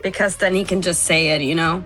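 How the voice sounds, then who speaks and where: A woman's voice: fairly low pitch, steady tone, fairly quick, a bit bright, low-quality recording. Guest: woman journalist, candid and chuckling, remote video-call sound, faint music in the background. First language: Norwegian. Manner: candid, laughs between points.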